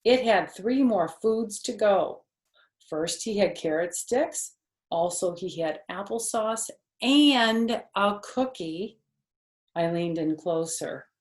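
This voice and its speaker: A mature woman reads a children's story as a grandmother would at storytime, expressive and with casual asides. Her pitch is average. The recording has a clear indoor room echo. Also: takes it slowly. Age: middle-aged or older. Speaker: grandmother reading stories. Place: indoor living room on a sofa.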